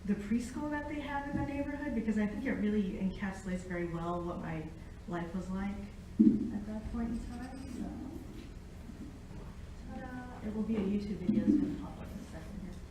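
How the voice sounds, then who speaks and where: A middle-aged American woman speaks to a room in an informal lecture. Her delivery is conversational, with a medium pitch, and she is picked up from a distance in a very echoey space with faint noise. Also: fast, mellow. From United States, born in Japan. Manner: conversational, engages the audience with questions. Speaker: academic guest speaker, Latin researcher. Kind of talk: informal public lecture with personal storytelling.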